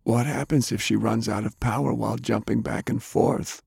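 Guy doing a nervous raspy dude, voice pitched up a bit, parched. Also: measured pace, a little mellow, no echo, studio quality, exaggerated voice. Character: nervous thirsty young man, rasping.

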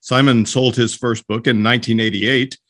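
A man's voice: mid-range voice, fairly even intonation, normal speed, a bit bright. A man working as a podcast host, reading announcements and hosting an author interview.

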